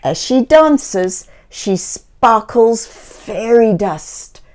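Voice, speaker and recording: A woman reading a picture book aloud to young children in a theatrical way, slipping into hushed tones and exclamations and gently questioning her listeners. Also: fairly high pitch, animated, drawn-out words. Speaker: children's storybook reader.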